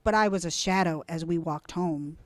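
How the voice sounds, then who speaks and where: A woman reading a children's storybook aloud in an expressive, deliberate, dramatic way. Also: lowish voice, dry recording, studio quality. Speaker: storybook reader.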